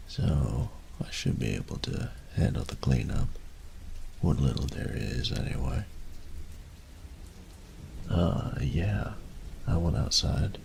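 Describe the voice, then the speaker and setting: A man's voice: extremely low pitch, very animated, slow pace, creaky, long pauses, darkish tone, slight background noise, full character voice. A man playing a carefree, thrill-seeking college roommate in an audio roleplay, talking in an easygoing, nonchalant way. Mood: breezy, defensive.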